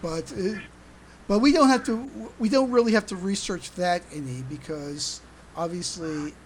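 A podcast host chatting casually in a halting, stammering way, often repeating words. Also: medium pitch. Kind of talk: casual panel discussion. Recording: noticeable background noise.

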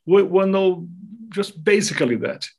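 A man's voice: high voice, lively intonation, unhurried, gravelly, a little mellow, husky. Brazilian man speaking as an interview guest. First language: Portuguese. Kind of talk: interview talk, explaining devotional topics.

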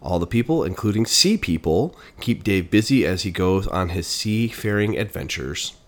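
A man with a very low, deep voice, stuffed up and congested from a cold. He co-hosts a laid-back video game podcast and talks in an easygoing, chatty way. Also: normal speed. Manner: relaxed, chatty, reads synopses aloud. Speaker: podcast co-host. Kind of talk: casual podcast chat.

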